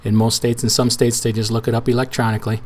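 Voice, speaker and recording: Man, hoarse today, casual trucking-channel host; loose, restart-heavy tutorial delivery. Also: medium pitch, level tone, fast, a little mellow. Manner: casual, rambling with restarts and fillers. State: hoarse, weak voice. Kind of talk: screen-share tutorial walkthrough.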